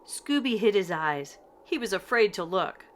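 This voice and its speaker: An older woman reading a children's picture book aloud in an expressive, deliberate storytelling manner. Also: medium pitch. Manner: slow, deliberate, animated delivery for children. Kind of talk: expressive scripted read-aloud narration.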